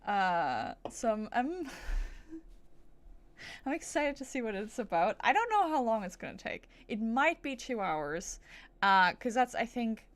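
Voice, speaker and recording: Higher-pitched woman, casual livestreamer. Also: very animated, measured pace. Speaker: streamer.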